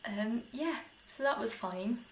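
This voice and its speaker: A woman's voice: fairly high pitch, slow. A young British woman telling personal stories in a casual, chatty, informal way, as a vlogger would. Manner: chatty, informal, with small laughs through sentences. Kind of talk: casual personal storytelling to camera. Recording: roomy echo, faint background noise.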